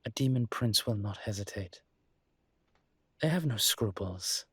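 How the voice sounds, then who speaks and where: Guy doing a flirty demon prof for audio rp, husky slow voice, super dry close sound, teasing and indulgent. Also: on the low side, animated, a bit bright, dry recording, heavily acted. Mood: sly, instructive. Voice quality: husky, breathy. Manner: languid, seductive, theatrically polite with drawn-out words. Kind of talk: intimate flirty audio roleplay to the listener.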